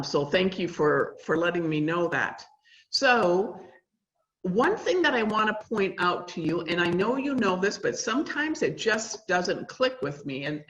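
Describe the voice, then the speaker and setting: A woman trainer presenting a school nutrition webinar, with a loud voice that carries and many fillers. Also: mid-range voice. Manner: chatty, uses many fillers. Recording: roomy, dull lo-fi sound.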